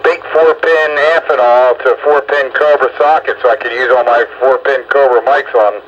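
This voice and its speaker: A man's voice: medium pitch, fairly even intonation, normal speed, fairly bright tone, lo-fi sound. An older man chatting casually with fellow hobbyists over the radio as he hosts a round-up. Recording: very noisy radio transmission.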